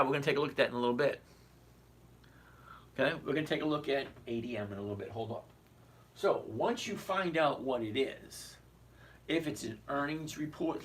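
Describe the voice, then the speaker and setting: A man hosting a casual stock-trading livestream, his voice hoarse and cracking as he chats with viewers and keeps asking "okay?". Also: mid-range voice, a bit bright. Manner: chatty, repeats "okay?", answers viewers. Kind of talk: casual livestream market commentary. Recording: distant mic with faint background noise.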